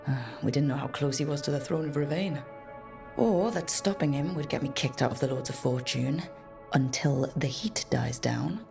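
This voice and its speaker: A woman playing a resolute, wry young adventurer in a video game, musing to herself in an unhurried, reflective way. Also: extremely low pitch, vocal fry, over music. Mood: wistful, reflective.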